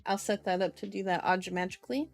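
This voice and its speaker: A woman's voice: mid-range voice, quick pace, soft background music. A woman hosting an ASMR stream, reading a book aloud and chatting to viewers in a playful, cutesy way.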